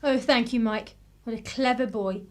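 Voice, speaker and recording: A woman's voice: fairly high pitch, moderate pace, background noise, natural voice. A woman playing a polite, composed lady with a secretive past in a radio drama, genteel and wry. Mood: polite, reflective.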